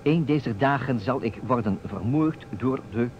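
Playful theatrical guy setting up a mystery game, very lively pitch, old muffled radio play sound. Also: measured pace. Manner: theatrical, teasing, emphatic. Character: playful, insistent man staging a detective puzzle. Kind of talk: Dutch radio play acting. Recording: dull, noisy old radio recording.

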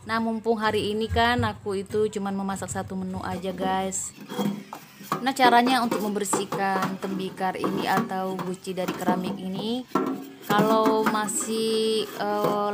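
A woman from Medan, Indonesia, a homemaker giving a casual, chatty vlog voice-over. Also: mid-range voice. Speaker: homemaker vlogger.

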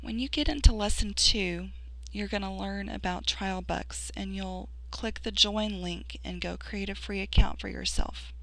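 A woman's voice: medium pitch, fairly even intonation, a little slow, vocal fry, fairly bright tone, low-quality recording. A woman who has lost her voice, giving a casual screen-recorded tutorial as a sponsor, earnest and encouraging with frequent fillers and restarts. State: losing her voice.

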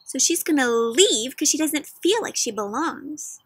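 A young adult woman hosting an interactive picture book read-aloud, questioning young children directly in an animated, teacherly way. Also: high voice, measured pace, dry recording. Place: outdoors in a leafy garden.

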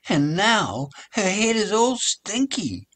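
An older man reading a children's story aloud, with expressive, deliberate phrasing. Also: high voice. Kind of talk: children's read-along story narration.

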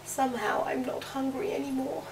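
A woman's voice: high-pitched, level tone, a little fast, a little hiss or hum, pitched above the performer's own voice. A woman voicing a young, squeamish and reluctant fairy-tale princess who exclaims her lines. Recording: distant mic, music behind the voice.

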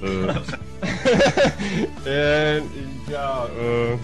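A man's voice: fairly high pitch, lively intonation, very slow, over music. A man giving casual, hesitant direction in a recording session, searching for words. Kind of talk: casual studio chat.

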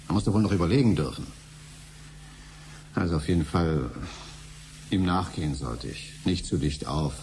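An actor playing an ordinary, curious but hesitant husband in conversational 1970s radio drama style. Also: male voice, low voice, normal speed, long pauses, darkish tone, natural voice. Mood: defensive, recounting. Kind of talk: 1970s German radio play acting. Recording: noisy 1970s radio recording, hum.

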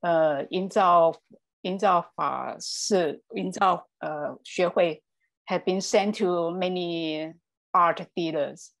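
A woman's voice: lowish voice, takes it slowly, creaky. A woman panelist speaking over a video call, hesitant and searching for words.

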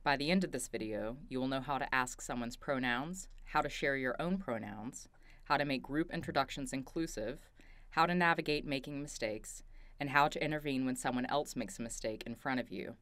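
A narrator reading a training script in an even, instructive way. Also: mid-range voice, normal speed, no echo.

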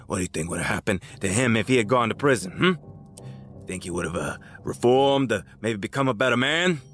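A man's voice: on the low side, expressive, brisk, a bit bright. An actor in an audio drama playing a smug, menacing crime boss who taunts and condescends as he threatens. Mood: smug, cynical. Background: music.

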